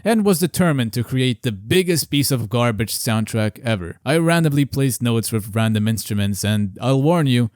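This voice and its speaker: A man's voice: mid-range voice, expressive, moderate pace, few pauses, darkish tone, dry recording, clean studio sound. A man giving a scripted, self-deprecating and sardonic narration as a video creator and game developer.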